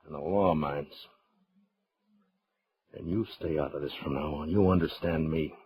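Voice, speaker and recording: A man's voice: a bass voice, measured pace, slightly rough, dry recording, dull audio, heavily acted. A man with an American accent playing a grim, stubborn middle-aged marshal in a radio western, terse and authoritative. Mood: stern anger. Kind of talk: mid-century radio western acting.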